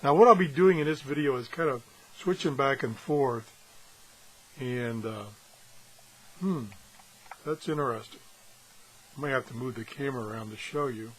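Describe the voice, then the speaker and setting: A man with a slightly husky voice gives a casual, unscripted hands-on review, pausing and thinking aloud as he goes. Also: mid-range voice, slight background noise, low-quality recording. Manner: halting, thinking aloud as he handles things. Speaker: gadget reviewer and video host.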